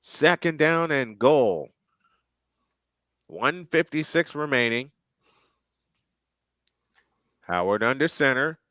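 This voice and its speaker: Male play-by-play caller, chatty between plays, crisp and dry. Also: mid-range voice, lively intonation, slow, fairly bright tone, no echo. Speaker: play-by-play commentator. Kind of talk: live football commentary.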